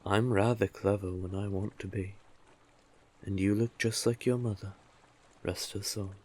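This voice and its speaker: A man's voice: on the low side, steady tone, a little slow, darkish tone. A man voicing a monstrous but civil male sea witch in an intimate roleplay audio drama, soothing, teasing and courtly, lingering on endearments. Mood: courtly, knowing.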